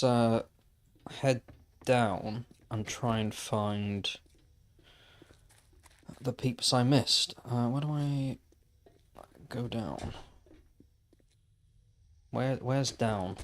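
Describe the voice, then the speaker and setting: A man's voice: medium pitch, slight background noise. Man musing aloud while gaming. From Wales. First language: Welsh. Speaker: gaming livestreamer. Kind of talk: casual chat while playing.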